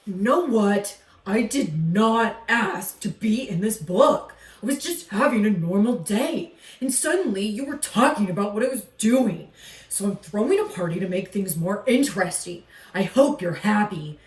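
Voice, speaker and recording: Storybook alligator played by a woman: male, exasperated, emphatic and loud, recorded echoey and away from the mic. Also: mid-range voice, expressive, normal speed, natural voice. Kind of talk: storybook character voice in a read-aloud. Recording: echoey room, distant from mic.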